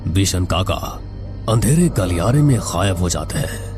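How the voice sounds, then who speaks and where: A man's voice: low-pitched, lively intonation, a little slow, music in the background. A man narrating a scripted horror story in a dramatic, suspenseful manner.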